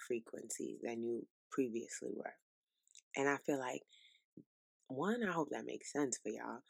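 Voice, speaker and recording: A woman's voice: low voice, a little mellow, dry recording. A young woman hosting her own podcast, talking casually and directly to her listeners in an informal, chatty way. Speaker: podcast host. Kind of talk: casual solo podcast monologue.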